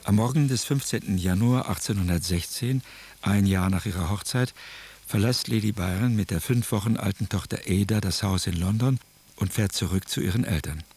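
A man narrating as a sober chronicler in a radio drama, with a husky voice and scripted delivery. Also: on the low side, moderate pace, darkish tone. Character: sober male chronicler narrating historical events.

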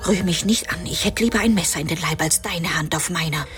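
A woman's voice: lowish voice, fairly quick, a bit bright, faint music behind, close to an everyday voice. A woman as a proud, guarded, defiant young woman. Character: defiant, proud young working-class woman, coolly evasive. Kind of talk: theatrical German radio play acting.

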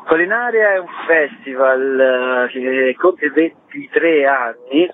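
A man being interviewed by phone on the radio as a festival director, explaining in a discursive way with pauses mid-phrase. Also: mid-range voice, a little hiss or hum. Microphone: telephone call to radio. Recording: thin, phone-like narrow-band line.

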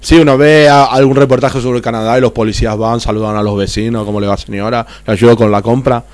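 A man's voice: mid-range voice, steady tone, fast talker, no echo. A man with an Argentine accent talking heatedly on a political radio chat, repeating and tripping over his words. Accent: Argentine Spanish.

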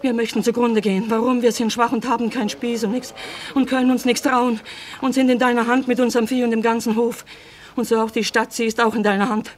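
A woman's voice: fairly high pitch, flat delivery, a little fast, close to an everyday voice. An actress playing a frightened peasant woman who pleads for her family in rustic speech, in a radio drama. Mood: urgent pleading. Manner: plain rustic dialect phrasing, imploring. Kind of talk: stage-like radio drama acting.

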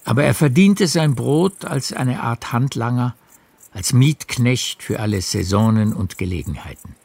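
A man narrating a literary radio drama with measured, deliberate phrasing. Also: mid-range voice, lively intonation, gravelly, a little mellow, husky, no echo.

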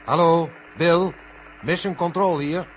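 An actor playing a brisk mission control operator in a vintage science-fiction radio drama. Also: male voice, fairly high pitch, steady tone. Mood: businesslike. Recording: noisy, humming, dull old radio recording.

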